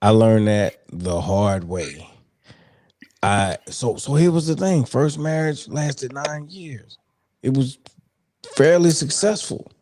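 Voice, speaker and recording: A man's voice: mid-range voice, lively intonation, slow, darkish tone, no echo. A man in his forties telling candid stories about his past marriages in a casual podcast chat. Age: 40s. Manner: candid storytelling, laid-back. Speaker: podcast guest.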